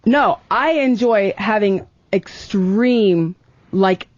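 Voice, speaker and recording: A woman's voice: mid-range voice, drawn-out words, no room sound. A woman talking casually and candidly as a guest in a debate, insistent and a little defensive, restarting her sentences. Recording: dull lo-fi dry studio audio.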